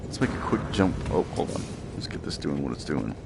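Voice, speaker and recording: A man's voice: very deep voice, sing-song, brisk, gravelly, background noise. A man giving casual live commentary on a game, laid-back and mostly talking to himself, muttering along as he goes. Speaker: gaming streamer.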